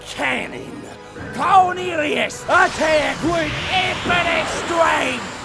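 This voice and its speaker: A man voicing a blustering ancient villain with a Brooklyn accent, loud and exasperated, ending lines with "man". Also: very high voice, drawn-out words, gravelly, loud music behind the voice, exaggerated voice. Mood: boastful. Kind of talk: comedic parody dub.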